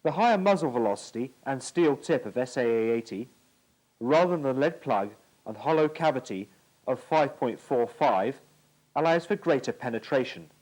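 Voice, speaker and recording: A man's voice: mid-range voice, lively intonation, moderate pace, a little mellow, low-quality recording. A British man giving formal, precise scripted narration for an instructional military training film from the 1980s.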